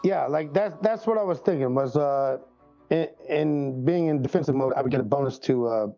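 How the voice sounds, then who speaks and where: A man with a creaky, dark voice chats casually as a tabletop player. The sound is dull, with faint music behind him. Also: medium pitch, normal speed. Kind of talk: casual game chat.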